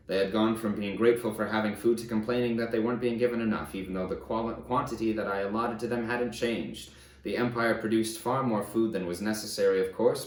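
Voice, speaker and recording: A man reading an audiobook as a remorseful fallen conqueror, confessing his atrocities in a grave, measured, emphatic way. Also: on the low side, echoey room, a little hiss or hum, natural voice, low-quality recording. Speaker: audiobook narrator. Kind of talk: dramatic first-person audiobook reading.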